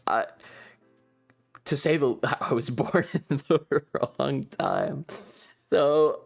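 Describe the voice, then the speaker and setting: A man's voice: mid-range voice, extremely slow, vocal fry, slightly rough. A man streamer casually recounting a trip in a rambling, sweary, amused way, with music behind him.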